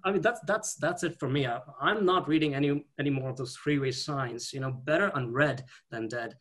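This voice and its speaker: A man from India doing a stand-up set on a video call, talking haltingly with repeated words and dry punchlines. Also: mid-range voice, a bit bright. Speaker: stand-up comedian.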